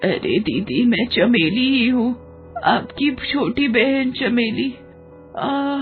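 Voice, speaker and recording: A woman voicing a young girl turned into a frail old woman in a cartoon story, crying softly. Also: sits high, phone-quality sound, pitched above the performer's own voice. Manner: soft, weepy, slow.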